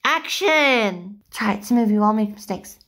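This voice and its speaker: A woman playing an eager, bossy young girl who directs a homemade movie in doll play, with excitable, drawn-out delivery. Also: mid-range voice, background noise, close to an everyday voice. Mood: eager, directing.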